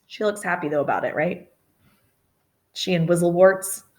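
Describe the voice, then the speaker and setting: A middle-aged woman reading a children's picture book expressively, with dramatic emphasis and chatty asides. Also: on the low side. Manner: animated, deliberate, dramatic emphasis. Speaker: storytime reader.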